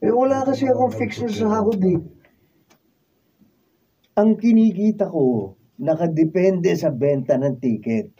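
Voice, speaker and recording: An actor playing a struggling, defensive stage magician in a Filipino radio drama. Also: male voice, sits high, measured pace, pauses often, a crowd in the background. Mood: apologetic, pleading. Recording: dull, lo-fi behind-the-scenes studio recording.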